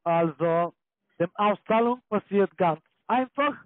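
A man with a foreign accent, not a native German speaker, giving a repetitive scripted sales pitch as a call-centre agent, often checking that he is followed. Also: takes it slowly, dry recording. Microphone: telephone. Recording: muffled low-fidelity phone call.

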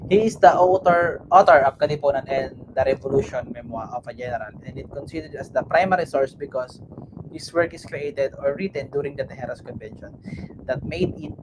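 A man's voice: mid-range voice, moderate pace. A young man with a Filipino accent giving a class report on a video call, talking casually with many fillers and tag questions. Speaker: student presenter. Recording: video call audio with background noise.

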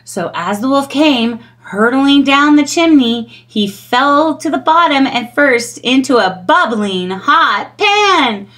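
A middle-aged woman reading a children's storybook aloud in an expressive storytelling style. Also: high-pitched, slow pace, hardly any pauses.

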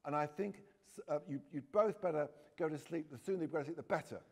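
A man reading a firm, brisk mum. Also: fairly high pitch, gravelly, darkish tone. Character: firm mother, middle-aged woman, calming.

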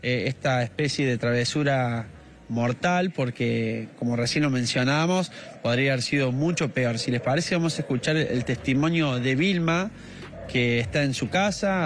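A man's voice: medium pitch, slight background noise. A man in his thirties with an Argentine accent, reporting live from a street with a handheld mic and lots of fillers. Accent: Argentine Spanish. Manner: many "eh" fillers, measured. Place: outdoors on a residential street.

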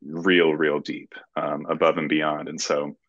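A middle-aged man speaking in a halting, measured way as a screenwriter in a casual interview over a video call. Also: rumbling low, little pitch movement, fairly bright tone, no echo. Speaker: screenwriter guest. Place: room at home, indoors. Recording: dull, muffled video call audio.

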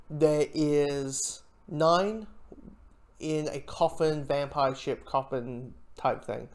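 A man giving an informal spoken review, talking in a rambling, halting way with frequent asides. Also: fairly high pitch. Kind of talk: informal audio drama review commentary.

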